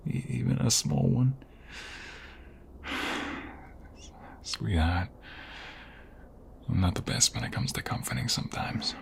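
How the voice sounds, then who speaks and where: A man acting a protective mobster husband in an ASMR romantic roleplay, tender but fierce when threatened, with a soft, breathy voice that often drops to whispers. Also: mid-range voice, slow pace, long pauses, a little hiss or hum. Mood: playful, reassuring. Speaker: voice actor.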